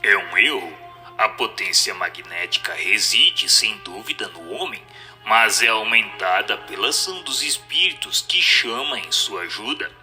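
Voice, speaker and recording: A man voicing a solemn, assured spirit who answers in a measured, didactic way in an audio drama reading. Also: fairly low pitch, lively intonation, pushed and bright, soft background music, voice pushed down, heavily acted.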